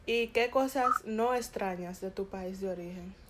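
Soft-spoken woman asking short questions. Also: medium pitch, measured pace, heavy background noise. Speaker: interviewer. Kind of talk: oral history interview. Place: Bronx, New York.

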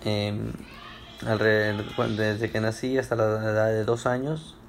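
A man's voice: on the low side, level tone, a little slow, a little hiss or hum. A young man from Ecuador answering oral history questions at home, hesitant with many fillers and restarts. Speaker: interviewee.

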